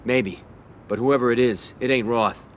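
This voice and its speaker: Actor as loyal, mid-pitched mafia underboss turned boss. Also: male voice, steady tone, normal speed, a little hiss or hum, close to an everyday voice. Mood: determined. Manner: plain, direct. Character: loyal, businesslike young mafia boss, adult man. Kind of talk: video game cutscene acting.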